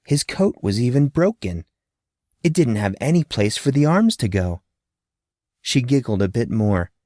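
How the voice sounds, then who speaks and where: A male audiobook narrator telling a fantasy story with plenty of swing in his voice, rising and falling through the sentences. His pitch sits in an ordinary middle register, and the recording is dry and close, with no room sound. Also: unhurried, no echo. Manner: expressive, lively storytelling.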